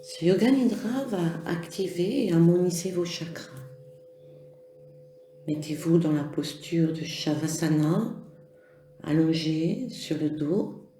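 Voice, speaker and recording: A yoga nidra instructor leads a guided relaxation at a very unhurried pace. The voice is soft-edged and mellow, with gentle, measured phrasing and pauses. It sits in a roomy, echoing sound. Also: mid-range voice, animated, slight background noise. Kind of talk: slow guided relaxation meditation.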